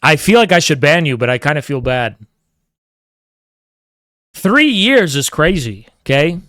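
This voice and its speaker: A man's voice: fairly high pitch, very animated, a little slow, fairly bright tone, no room sound, studio quality. A man live-streaming, chatting casually and teasing his chat while rambling through strong opinions.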